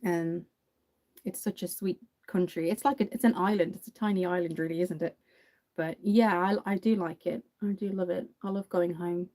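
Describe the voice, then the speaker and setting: A woman's voice: on the low side, fairly even intonation, moderate pace, warm tone. A young woman from Dublin with an Irish accent, chatting away through a casual livestream makeup tutorial and answering viewer comments. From Ireland.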